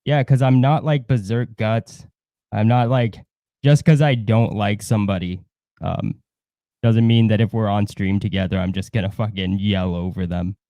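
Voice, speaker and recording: A man's voice: on the low side, steady tone, normal speed, no echo, highs cut off. A man hosting a livestream with casual, opinionated chat, blunt and given to swearing. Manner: blunt, profane. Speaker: livestream host.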